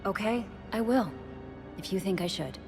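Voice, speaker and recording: A caring, earnest young woman in a video game drama. Her voice is medium in pitch and openly emotional, with music clearly playing behind it. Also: lively intonation, normal speed. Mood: relieved, fond. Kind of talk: video game cutscene dialogue.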